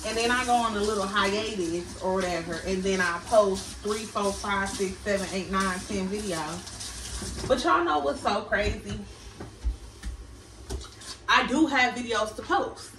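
A woman's voice: mid-range voice, unhurried, noisy background. A woman, chatting casually to her viewers as she cooks at home. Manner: chatty, addresses viewers as y'all. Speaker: vlogger. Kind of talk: casual cook-with-me chat. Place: small home kitchen. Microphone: camera across the kitchen. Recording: roomy kitchen audio from a distant camera, running water.

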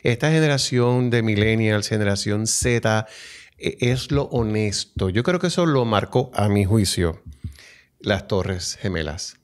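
Un hombre de mediana edad con acento puertorriqueño conduce una entrevista con una entonación muy animada, que sube y baja. Completa las frases de su invitada y mete palabras en inglés, hablando ante un micrófono de mesa en un estudio pequeño. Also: male voice, fairly low pitch, animated, measured pace. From Puerto Rico. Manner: finishes the guest's sentences, mixes in English. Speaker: podcast host. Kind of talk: interview conversation. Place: small studio with a table. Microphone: table mic on a stand.

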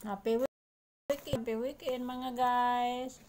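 Slow-talking middle-aged Filipino woman. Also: on the high side, fairly even intonation, dark tone, slight background noise. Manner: short phrases while eating, repetitive. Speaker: vlogger. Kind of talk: casual mukbang chat. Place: small indoor room at home. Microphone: phone at arm's length.

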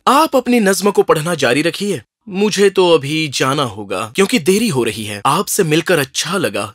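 A man voicing a polite, gallant young prince with courteous, formal speech in a children's animated fairy tale. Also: a little high, no room sound. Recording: music under the voice.

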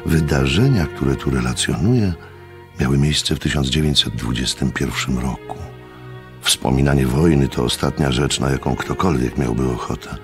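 Radio drama narrator: a measured, literary storyteller, male, very low and creaky, faint music. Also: exaggerated voice.

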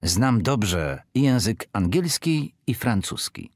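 Low-voiced man reading phrases slowly. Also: very animated, no room sound, studio quality. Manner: careful, clear phrase-by-phrase delivery. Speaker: Polish-side speaker of a language course. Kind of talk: slow scripted phrase reading.

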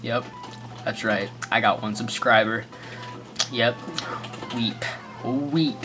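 A man's voice: medium pitch, takes it slowly. American man, let's play commentary, joking and rambling, faint game music behind. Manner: joking, rambling, sarcastic asides.

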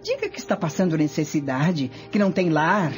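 An actress playing a vengeful, scheming Roman noblewoman in a radio drama, speaking imperiously and deliberately. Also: deep voice, sing-song, music in the background. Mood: commanding, calculating.

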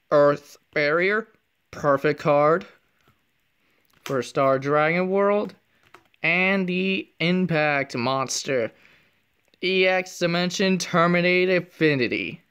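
Soft-spoken man calmly opening card packs. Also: a little high, clean tone. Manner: speaks softly, half whispering, reads card names aloud. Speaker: card unboxing youtuber. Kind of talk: casual card pack opening commentary. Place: indoors at a table, hands only on camera.

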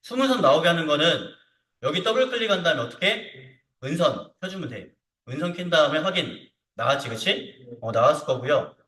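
A man teaching software step by step in an informal way, often checking in with short tag questions. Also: fairly high pitch, animated, a little fast. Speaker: CAD instructor. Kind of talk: hands-on software tutorial to students. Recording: roomy echo, distant mic.

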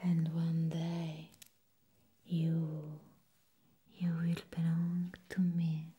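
Woman acting a slow whispering vampire nurse. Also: sits low, completely flat delivery, highs cut off, exaggerated voice. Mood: menacing, hypnotic. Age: young adult. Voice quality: breathy whisper.

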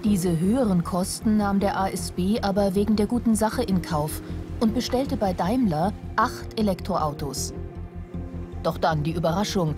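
A woman reading scripted documentary narration in an even, informative way. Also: medium pitch, moderate pace. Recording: voice-over over music.

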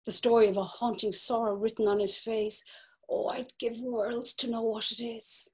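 A woman's voice: medium pitch, close to an everyday voice. A woman voicing a romantic young Irish woman in a dramatic reading over a video call, dreamy and at times whispering. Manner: breathy, dreamy, sometimes whispered.

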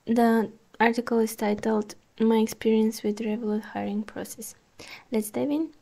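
A woman reads her own article aloud for a podcast, in a calm, measured pace with the odd "uh". Her voice sits a little high but sounds mellow and soft rather than bright. She is recorded close on the mic. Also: vocal fry. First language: Bulgarian. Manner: calm, measured reading with small hesitations. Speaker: podcast host.

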